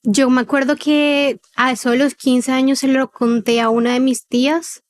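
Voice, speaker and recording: A young woman with a fairly high voice and a neutral Colombian accent touched by a paisa lilt. She speaks as an interview guest, conversational and a little hesitant, pausing as she goes. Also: flat delivery. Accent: neutral Colombian with a slight paisa lilt. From Cartagena, Colombia. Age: 20s. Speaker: podcast guest. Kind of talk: personal testimony in conversational interview.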